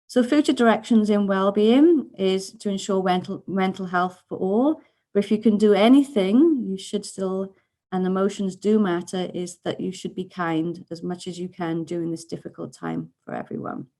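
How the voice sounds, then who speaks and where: A woman from Wales with a Welsh accent, giving a webinar presentation in an earnest, steady way over a video call. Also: mid-range voice, normal speed.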